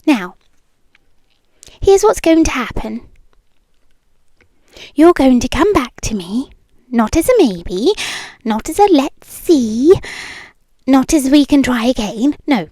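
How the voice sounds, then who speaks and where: Woman acting as obsessive british yandere ex gf, high breathy voice slipping into whispers, sweet but menacing, super close dry asmr. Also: mellow, no echo, full character voice. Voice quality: breathy, often dropping into whisper. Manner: slow, intimate, lingering delivery. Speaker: ASMR roleplay performer. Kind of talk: ASMR roleplay. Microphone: close microphone.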